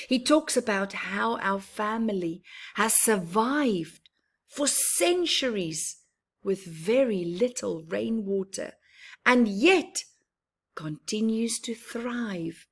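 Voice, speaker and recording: A woman's voice: medium pitch, slightly rough. A woman voicing a proud, cheerful young boy tree from a children's storybook, with earnest, emphatic phrasing. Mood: proud wonder. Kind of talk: children's storybook narration in the first person.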